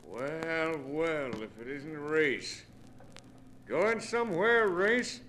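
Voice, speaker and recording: Taunting gunman, slow drawl, bright edgy tone, mocking, 1950s radio crime drama. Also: fairly high pitch, animated, slight background noise, exaggerated voice. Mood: mocking menace. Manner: mocking, drawn-out delivery. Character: taunting armed male gangster, drawling his threats.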